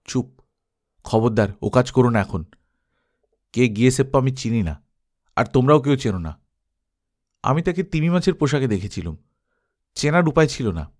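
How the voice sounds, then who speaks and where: A man's voice: mid-range voice, lively intonation, normal speed, pauses often, natural voice. A man voicing a cautious male adventurer in an audiobook, with an urgent, commanding manner. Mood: stern caution. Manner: urgent, hushed at times, commanding. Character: cautious German adventurer giving urgent orders.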